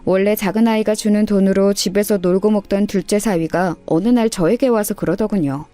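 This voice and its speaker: A woman's voice: mid-range voice, steady tone, a little fast, mellow. A woman reading a scripted first-person life story in a radio story style. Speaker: storyteller narrator.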